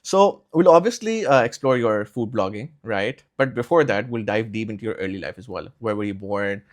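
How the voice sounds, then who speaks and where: Quick, low-voiced young podcast host. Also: male voice, animated. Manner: friendly, laughs often, asks questions plainly. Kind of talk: hosting an interview podcast.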